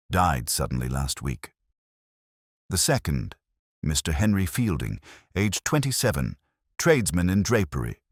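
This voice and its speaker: A man's voice: extremely low pitch, husky, dry recording, clean studio sound, heavily acted. A man voicing a sceptical, uneasy police inspector in an audiobook, formal, cautious and matter-of-fact. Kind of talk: audiobook detective story dialogue.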